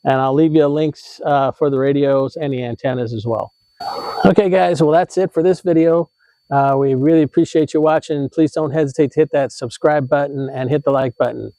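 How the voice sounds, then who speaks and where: A man's voice: a little high, steady tone, moderate pace, mellow, dry recording, poor audio. An American man hosting a how-to video, explaining in a casual, informal way with some hesitation and self-correction. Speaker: host of an RV tips video channel.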